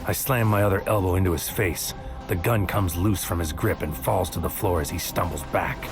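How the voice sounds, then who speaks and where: Low male lead: wary noir investigator, brooding measured narration, sci-fi audio drama, music bed. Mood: urgent, tense. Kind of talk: first-person scripted audio drama narration, present tense.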